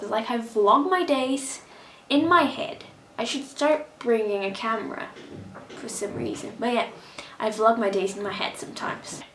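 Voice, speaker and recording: A young woman from Brazil chats straight to camera in English, her accent drifting between Brazilian, American and British. Her voice sits a bit high, and she talks in a lively, animated way. The room gives it a noticeable echo, with a faint hiss underneath. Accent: mixed Brazilian, American and British. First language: Portuguese. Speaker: vlogger. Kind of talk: casual direct-to-camera vlog.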